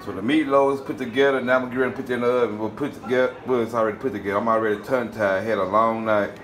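A man's voice: mid-range voice, rapid-fire. A man from the South, chatting casually as he cooks in his home kitchen and talks straight to his viewers. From Southern United States. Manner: relaxed, chatty, addresses viewers as "y'all". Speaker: cooking channel host. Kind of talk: casual cooking vlog narration.

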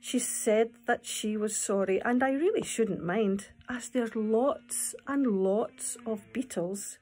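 A Scottish woman in her fifties talking and reading to young children at storytime, expressive, in simple phrases with questions to the listeners. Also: on the high side, slow, slightly rough. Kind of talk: storytime talk and poem reading for small children. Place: small room at home, indoors. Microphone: phone or tablet at arm's length.

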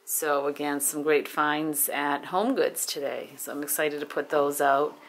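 A woman's voice: low voice, steady tone, measured pace, a little hiss or hum. A woman vlogger chatting enthusiastically as she shows off food, a farm market and shopping finds. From New England. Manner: chatty, enthusiastic show-and-tell. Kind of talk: casual vlog narration. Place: farm market barn and home table.

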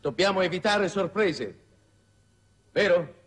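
A man's voice: high-pitched, takes it slowly, fairly bright tone. A middle-aged man playing a weary, conscience-torn government envoy, grave and formal, in 1960s Italian television drama. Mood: troubled, insistent. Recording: noisy old 1960s television soundtrack.

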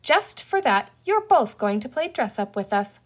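Woman acting a bossy, high-voiced young girl. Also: sing-song, moderate pace. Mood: triumphant, commanding. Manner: scolding and commanding. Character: bossy, exasperated young girl, big sister.

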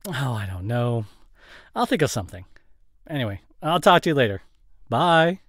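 A man's voice: medium pitch, big pitch swings, normal speed, a bit bright, no echo, studio quality. A man playing an evasive, defensive boyfriend with a drinking habit in an audio roleplay, speaking in a hesitant, one-sided conversation. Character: evasive drinking boyfriend, dismissive then guilt-stricken.

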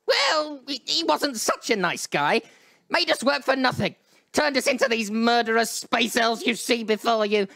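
A man voicing a villainous male elf leader in a live radio play, theatrical and given to shouted curses. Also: very high-pitched, very animated, normal speed, gravelly, exaggerated voice. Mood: ranting. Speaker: voice actor in a charity-stream radio play.

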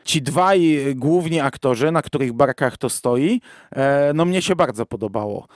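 A man's voice: mid-range voice, lively intonation, a little slow, no room sound, studio quality. A man co-hosting a podcast, giving a casual, informal and matter-of-fact review. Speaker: podcast co-host.

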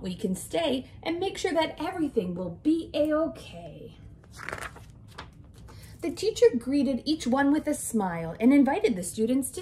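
Female, 20s, kindergarten storytime reader: bright-eyed sing-song phrasing, high pitch, very lively intonation. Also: slight background noise. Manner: expressive, sing-song, addressing young children. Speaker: kindergarten teacher. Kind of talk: children's picture book read-aloud. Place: small indoor room with decorated walls.

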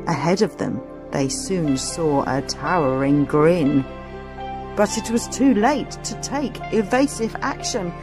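A woman reading a children's story aloud as dramatic, expressive, suspenseful narration. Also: fairly low pitch, takes it slowly. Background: music.

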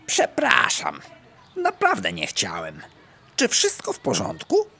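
A woman voicing a friendly, boisterous pet dog in a children's audio drama, eager and quick to exclaim. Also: a bass voice, huge pitch swings, creaky, bright, forceful tone, slight background noise, full character voice. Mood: sheepish.

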